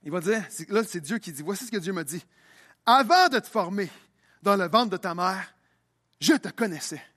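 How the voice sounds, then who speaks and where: High-voiced young preacher. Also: male voice, lively intonation, moderate pace, a bit bright. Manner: preaching with rising intensity, repeating phrases. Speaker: pastor. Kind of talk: sermon.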